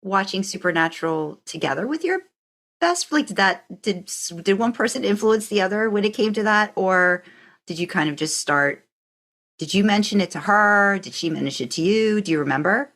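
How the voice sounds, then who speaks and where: Woman podcast host with very animated pitch. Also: normal speed. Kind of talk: casual conversational questioning.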